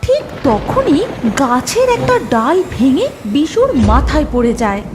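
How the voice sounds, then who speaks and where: A woman's voice: high voice, sing-song, a little slow. A woman narrating a scripted horror cartoon story in a dramatic style. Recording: loud background music.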